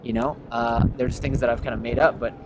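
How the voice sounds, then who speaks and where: A man's voice: mid-range voice, little pitch movement, a little fast, noisy background. A man talking casually as a podcast host, animatedly telling stories and acting out example greetings. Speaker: podcast host and memory expert. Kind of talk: casual conversational discussion.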